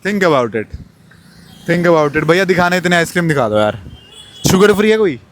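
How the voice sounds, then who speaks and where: A young man hosting a casual street vlog, teasing and animated. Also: on the high side, very fast, a little hiss or hum. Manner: teasing, animated, mixes Hindi and English. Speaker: vlogger host.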